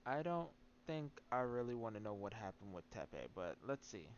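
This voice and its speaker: A man's voice: on the low side, very animated, moderate pace, highs cut off, slight background noise. A man doing casual, rambling and playful live-stream commentary while he plays a video game. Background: faint game music.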